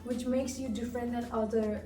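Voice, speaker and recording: A woman's voice: fairly high pitch, steady tone, mellow, reverberant, distant mic, faint music behind. A young woman from India, leading a casual group chat among students and asking questions. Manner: asks questions, quick affirmations. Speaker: student discussion lead.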